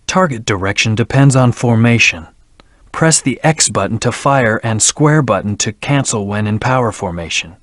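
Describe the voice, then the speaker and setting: A man's voice: on the low side, no room sound, studio quality. A man voicing a brooding, stern hedgehog antihero in a video game, speaking in a terse, serious, controlled way. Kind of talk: video game voice acting, scripted hints and battle lines.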